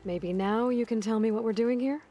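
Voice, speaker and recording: A woman's voice: a little high, fairly quick, dark tone, natural voice. A woman playing a determined, wry young hunter heroine in a video game, speaking in a matter-of-fact, dry way. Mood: friendly, direct. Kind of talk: video game cutscene acting. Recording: background music under the voice.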